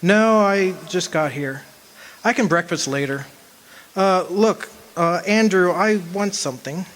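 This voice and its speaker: A man's voice: high voice, unhurried, fairly bright tone. A man acting in a radio drama as an earnest, homesick country man, with a wistful, folksy way of talking. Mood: hesitant, friendly. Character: homesick young man of twenty-five, lovesick, earnest, remembering. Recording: noisy live amateur stage recording.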